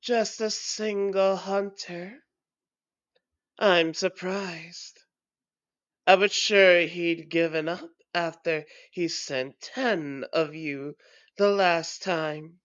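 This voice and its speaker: Casting: actress as ancient female vampire, taunting and theatrical, drawn-out menacing delivery, dry muffled audio roleplay sound. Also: on the low side, no echo. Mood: mocking menace. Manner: slow, deliberate, theatrical menace. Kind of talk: scripted audio roleplay monologue.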